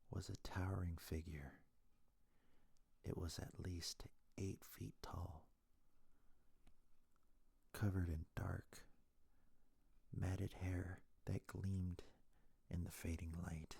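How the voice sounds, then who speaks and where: A man softly telling a scary story in ASMR style, breathy and hushed, in short deliberate phrases close to the mic. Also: low voice. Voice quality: breathy, whispery, husky. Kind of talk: ASMR soft-spoken scary story narration. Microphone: close mic, dry.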